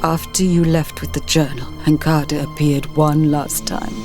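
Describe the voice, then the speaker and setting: A woman voicing a frail old man, haunted and broken, in an audiobook with a halting, anguished delivery. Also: low-pitched, flat delivery, over music, voice pushed down, lightly acted. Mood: fearful.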